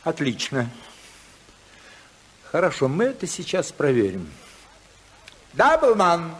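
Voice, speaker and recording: A domineering magnate in a 1950s radio play. His husky voice swings widely in pitch as he gives imperious, demanding orders. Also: wildly swooping pitch, slow pace, heavily acted. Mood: brisk, imperious. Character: commanding, domineering magnate and stern father. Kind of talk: 1950s Soviet radio drama acting.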